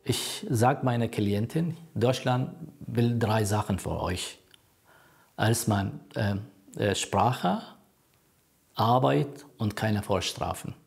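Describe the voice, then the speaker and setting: Gravelly older man, halting German. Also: on the low side. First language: Kurdish. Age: 60s. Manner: halting non-native German, plain and earnest. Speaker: refugee counselor. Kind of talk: documentary interview.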